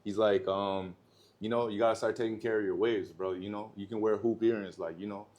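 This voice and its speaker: Young Canadian man, lowish voice, relaxed slangy chatter full of fillers, guest on a casual chat show. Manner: slangy, loose, quick bursts with filler "like". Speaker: rapper guest.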